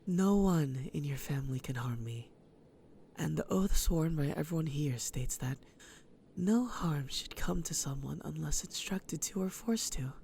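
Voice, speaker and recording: An actor playing a sly serial killer villain in an audio drama, taunting and theatrical, with a breathy voice that often drops to a whisper. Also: mid-range voice, animated, mellow, slight background noise. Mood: smug, taunting. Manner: taunting, theatrical, slow and menacing. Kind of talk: audio roleplay drama acting.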